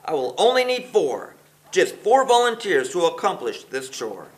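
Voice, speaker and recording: A man's voice: fairly high pitch, very animated, normal speed, rough recording. A man voicing a sneaky, villainous beaked creature mayor in a children's storybook, in an exclaiming, gloating manner. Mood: rallying.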